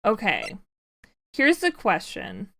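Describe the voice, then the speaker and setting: Loud, chatty young woman streamer. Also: mid-range voice, wildly swooping pitch, takes it slowly, gravelly, slight background noise. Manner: loud, laughs a lot, chatty. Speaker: streamer host. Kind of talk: casual chat with friends on a game stream.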